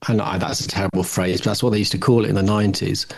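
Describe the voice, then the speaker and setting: A man's voice: low-pitched, steady tone, very fast, gravelly. A middle-aged man chatting casually as a podcast co-host, musing and hedging in a rambling way. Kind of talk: casual chat.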